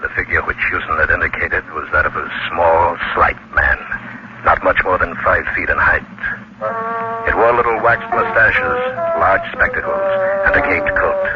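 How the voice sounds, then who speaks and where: A man narrating a scripted horror story in a 1940s radio style, measured and dramatic, with deliberate pauses. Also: low voice, lively intonation, noisy background. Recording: muffled old radio recording with prominent music.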